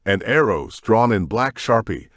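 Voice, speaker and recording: Male narrator, lower-pitched voice, scripted story reading, very varied intonation, dry studio-like sound. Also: sing-song, unhurried, a bit bright, dry recording. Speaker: audiobook narrator.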